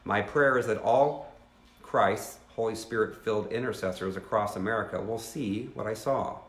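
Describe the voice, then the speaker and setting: A man's voice: lowish voice, normal speed, a bit bright, reverberant. Earnest fifties man reading a teaching. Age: 50s. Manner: earnest, reads steadily with asides to viewers. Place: living room at home.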